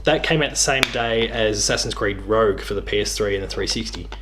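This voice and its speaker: A man's voice: lowish voice, brisk, background noise. An Australian man in his thirties hosting a casual chat about video games. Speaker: host. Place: living room, indoors.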